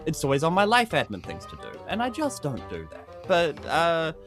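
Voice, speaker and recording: High-pitched, animated man joking around. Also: normal speed. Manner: jokey, self-mocking. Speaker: podcast cast member. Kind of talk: casual comic chat. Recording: soft music in the background.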